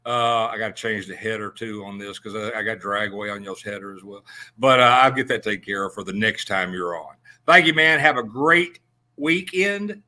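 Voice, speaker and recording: A man hosting a radio show, talking in a folksy, joking way with halting restarts. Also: lowish voice, pushed and bright. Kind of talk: casual on-air interview.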